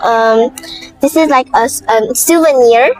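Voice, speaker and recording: A woman's voice: fairly high pitch, a little slow, a little mellow, faint music behind, poor audio. A young woman from China with a light Chinese accent, asking street interview questions in English with many fillers. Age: young adult. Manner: hesitant, many fillers, laughs often. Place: outdoors on a city street.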